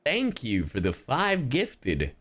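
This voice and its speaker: A man's voice: mid-range voice, huge pitch swings, slow, fairly bright tone. A man streaming a video game, chatting casually with viewers in an irreverent, joking, slangy and sweary way. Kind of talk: casual livestream chat and game commentary.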